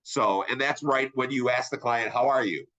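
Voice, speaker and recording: Nasal, bright-voiced man giving a webinar. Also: medium pitch, moderate pace, highs cut off. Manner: upbeat, conversational, asks "right?" often. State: congested, sinus infection. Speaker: insurance sales trainer. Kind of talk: webinar presentation.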